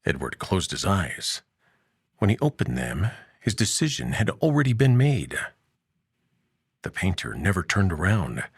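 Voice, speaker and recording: A man narrating a scripted audio story as its host, in a measured, dramatic way with deliberate pauses. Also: deep voice, sing-song, gravelly, husky, no room sound, clean studio sound.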